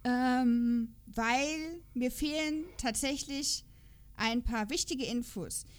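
Higher-pitched woman, chatty podcast host. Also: slow. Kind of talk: casual chat about audio drama episodes.

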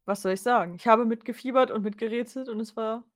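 A woman co-hosting a casual podcast, answering in a relaxed, giggly way. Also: fairly high pitch, fast talker. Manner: relaxed, giggly, short replies. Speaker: podcast co-host. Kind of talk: casual chat.

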